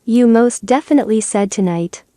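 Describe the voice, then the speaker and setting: A woman's voice: a little high, moderate pace, mellow, no echo, clean studio sound, natural voice. A woman voicing a small, cheerful, polite male mouse from a storybook.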